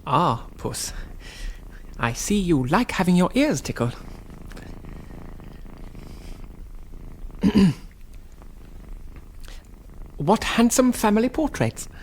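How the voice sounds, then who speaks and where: An actor playing a polite, naive young English gentleman in a radio drama, genteel and hesitant. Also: sits high, sing-song, slow pace, long pauses, slight background noise, full character voice, rough recording. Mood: politely delighted. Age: young adult. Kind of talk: BBC radio drama acting and first-person narration.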